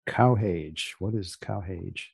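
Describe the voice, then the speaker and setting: A man hosting a podcast interview, with a deliberate, deadpan way of asking and presenting. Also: low-pitched, creaky, husky, dry recording, clean studio sound. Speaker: podcast host and magazine editor. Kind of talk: podcast interview with scripted introduction.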